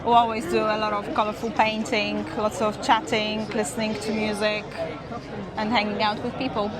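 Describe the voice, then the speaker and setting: A woman's voice: mid-range voice, monotone, moderate pace. A woman chatting casually as an interview guest, with crowd chatter behind her. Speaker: streamer being interviewed. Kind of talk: casual chat. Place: busy gaming event venue.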